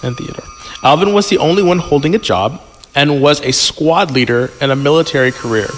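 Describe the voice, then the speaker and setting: A man's voice: a little high, a little fast, long reverb tail, slight background noise. A man chatting and reading a story aloud casually as a podcast guest, joking and digressing into asides. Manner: joking, digressive, repeats himself.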